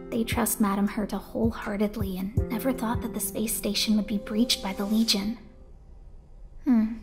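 A woman's voice: mid-range voice, close to an everyday voice. An actress playing an earnest young woman lead researcher, composed but worried, polite and formal, in an anime game. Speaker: game character, space station lead researcher. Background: game background music.

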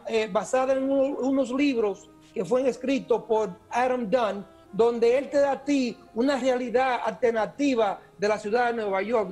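A middle-aged Dominican man with a Cibaeño accent, talking on a webcam video call as an actor guest, animated and often restarting his words. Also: very high voice. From Dominican, raised in the United States. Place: small room with posters indoors.

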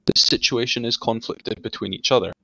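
A man playing a lawyer in online roleplay, explaining himself formally and haltingly. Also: medium pitch, steady tone, creaky, bright, forceful tone, no echo, poor audio.

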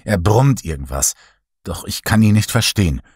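Male horror narrator, breathy, very expressive pitch, dry close mic. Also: moderate pace, no echo. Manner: dramatic first-person storytelling, lively voiced dialogue. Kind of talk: horror audiobook reading.

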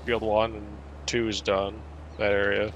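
An easygoing man with a fairly low voice joins a casual gaming chat. His mic carries clear background noise along with his voice. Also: little pitch movement, unhurried, highs cut off. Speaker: co-player on stream.

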